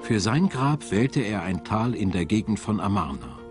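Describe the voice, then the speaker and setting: Male documentary narrator, lower register, varied melody in delivery, clear music bed. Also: very animated, moderate pace. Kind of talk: scripted documentary narration and voice-over.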